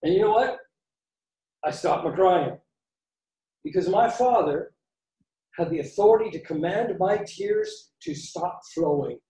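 A Canadian man delivers a sermon slowly, with dramatic pauses and repeated phrases for emphasis. His soft, mellow voice is picked up from a distance in an echoey room. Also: high voice, lively intonation. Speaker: preacher.

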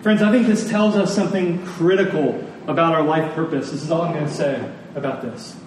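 A man preaching a sermon in an earnest, emphatic manner, repeating key phrases with deliberate pauses. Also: on the high side. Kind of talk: sermon to a congregation. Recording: very echoey room, distant mic, faint noise.

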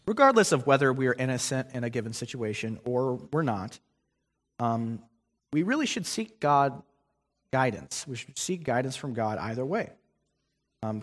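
Young man preaching in a reverberant church. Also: mid-range voice. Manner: conversational preaching with frequent fillers and restarts. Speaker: guest preacher. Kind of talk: sermon. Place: church sanctuary. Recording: long hall reverb.

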